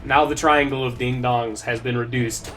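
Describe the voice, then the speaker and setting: A young man calls the action of a video game like a sports caster, slipping jokes into his play-by-play. His voice sits at an ordinary pitch, and faint music plays behind him. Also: fairly even intonation, normal speed, a bit bright, rough recording. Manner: playful, jokey play-by-play. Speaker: co-commentator. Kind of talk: mock sports-caster commentary.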